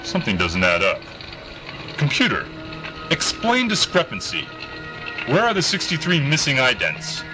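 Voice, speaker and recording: An actor playing a stern, practical starship commander in a science-fiction audio drama, speaking with formal, clipped military delivery. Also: male voice, mid-range voice, wildly swooping pitch, normal speed, pauses often. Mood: puzzled, businesslike. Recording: music bed under the voice.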